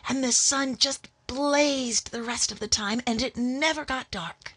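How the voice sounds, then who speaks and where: A woman plays a young bride in a radio drama. Her voice is fairly high and husky, with a girlish, earnest, dreamy air. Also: measured pace, a bit bright, heavily acted.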